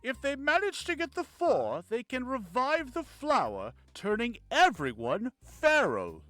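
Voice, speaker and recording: A man's voice: very high voice, wildly swooping pitch, unhurried, pushed and bright, dry recording, full character voice. Man as formal, knowledgeable advisor, hugely varied intonation, expository, fantasy visual novel, faint music. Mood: explaining. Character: polite, knowledgeable man explaining ancient lore. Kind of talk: amateur visual novel voice acting.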